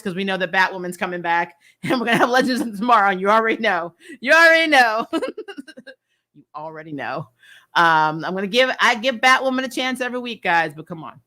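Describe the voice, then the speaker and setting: A woman hosting a casual livestream review, chatting with viewers in an animated, emphatic way and repeating phrases for effect. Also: on the low side. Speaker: host of a comic and TV review channel. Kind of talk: casual livestream chat, answering viewer questions.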